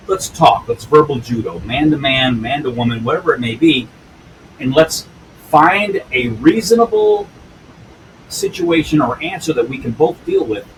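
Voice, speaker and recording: A man's voice: mid-range voice, a bit bright, a little hiss or hum, rough recording. A man in his fifties, a police use-of-force instructor telling stories in an interview, blunt and earthy, often tagging lines with "right?". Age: 50s. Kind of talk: storytelling in an interview.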